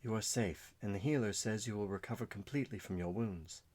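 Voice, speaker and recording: A man voicing a young noblewoman warden who stays composed through grief, speaking quietly and with restraint. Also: fairly low pitch, fairly quick, close to an everyday voice. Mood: grieving, steady.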